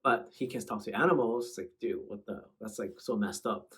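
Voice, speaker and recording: Husky, slangy man reacting casually. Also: lowish voice, fairly quick. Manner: slangy, bantering. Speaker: host.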